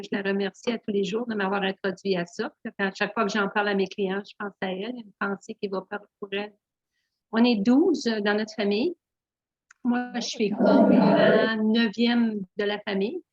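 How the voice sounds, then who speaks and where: A middle-aged Franco-Ontarian woman tells her story as an interview guest, searching for words, full of small restarts and soft fillers. The sound is dull and muffled, a lo-fi recording with a dry, close feel. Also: medium pitch, dry recording. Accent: Franco-Ontarian French. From Northern Ontario, Canada. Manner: many fillers and restarts, simple and candid. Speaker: guest, naturopath. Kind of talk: hesitant conversational storytelling.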